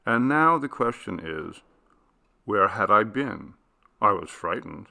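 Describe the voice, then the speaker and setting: A man voicing a nervous, rueful older gentleman passenger in an audiobook story, given to puzzled exclamations. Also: fairly low pitch, animated, takes it slowly, creaky, heavily acted. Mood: bewildered, musing.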